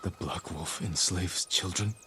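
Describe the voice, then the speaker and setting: An actor playing a stern, loyal samurai in a dramatic video game, speaking in a solemn, measured, resolute way. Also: male voice, low-pitched, pushed and bright, slight background noise. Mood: determined. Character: stern, loyal samurai man bent on justice. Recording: music behind the voice.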